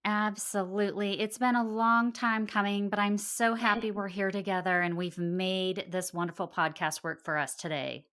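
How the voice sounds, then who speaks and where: A woman's voice: medium pitch, steady tone, normal speed, few pauses, fairly bright tone, clear, resonant tone. A middle-aged woman hosting a podcast, introducing her guest and asking questions. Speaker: podcast host.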